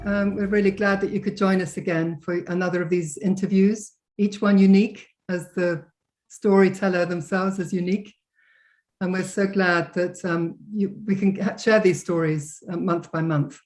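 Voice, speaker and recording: A woman's voice: on the low side, fairly even intonation, moderate pace, a little mellow. A woman hosting an interview, welcoming viewers and introducing a guest with many hesitant fillers. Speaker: interview host.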